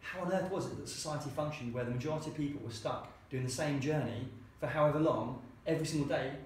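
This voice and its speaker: A man's voice: on the high side, quick pace. A man, founder of a co-working space, telling stories in an interview in a chatty way and acting out the lines of the people he quotes. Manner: chatty, acts out quoted dialogue. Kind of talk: anecdotal storytelling interview. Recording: very echoey room with faint hiss.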